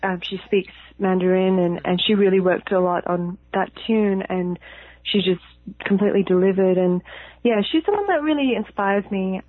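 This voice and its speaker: A woman's voice: medium pitch, moderate pace, no room sound. Woman guest on a tinny phone line. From Australia. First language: Hokkien. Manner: reflective, with many fillers like "you know" and "like". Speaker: musician guest. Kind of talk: casual interview chat. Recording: thin phone-line sound, dry.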